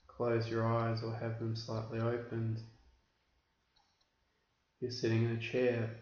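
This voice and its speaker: Flat-toned man guiding meditation, roomy recording. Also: mid-range voice. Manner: measured, instructional, with deliberate pauses. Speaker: meditation teacher. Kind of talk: guided meditation instruction. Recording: roomy, dull recording with background hiss.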